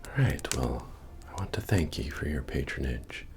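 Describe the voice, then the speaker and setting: A man playing a friendly, humble medieval fantasy innkeeper in a soft, breathy, soothing ASMR roleplay voice. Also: expressive, background noise. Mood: friendly, helpful. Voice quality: deep, breathy, often near a whisper. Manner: slow, soothing, gently repetitive. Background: music.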